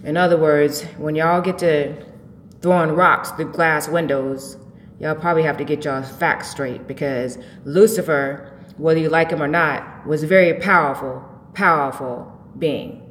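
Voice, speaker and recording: Low-voiced woman preaching in an echoey space. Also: fairly even intonation, unhurried. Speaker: host of a spiritual talk show. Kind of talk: informal spiritual monologue. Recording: echoey, reverberant room with faint noise.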